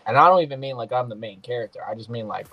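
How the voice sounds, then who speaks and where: A man's voice: medium pitch, very fast, lo-fi sound, slight background noise. Joking slangy man, a bit nasal. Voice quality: sometimes nasal. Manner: loose, joking, slangy trash talk. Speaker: gaming podcast host. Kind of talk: casual gameplay commentary.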